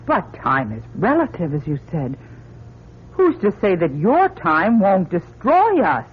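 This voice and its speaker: An actor playing an earnest, reasoning astronaut doctor in a vintage radio drama, with a husky voice and deliberate, formal delivery. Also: male voice, sits high, huge pitch swings, exaggerated voice. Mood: anxious reasoning. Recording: thin, phone-like old radio recording.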